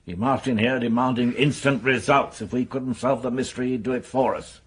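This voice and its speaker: Serious police major guy acting, mid-pitched husky voice, measured radio drama delivery. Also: steady tone, natural voice. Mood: exasperated. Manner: measured, serious, matter-of-fact. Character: sober, businesslike male police major briefing colleagues. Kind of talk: radio mystery drama dialogue.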